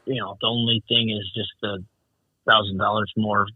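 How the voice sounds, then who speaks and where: A man's voice: fairly low pitch, little pitch movement, normal speed, slightly rough, no room sound, narrow, tinny audio. A man who organizes races, chatting casually on a call with many restarts and fillers. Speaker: snowmobile race organizer. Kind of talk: casual phone conversation.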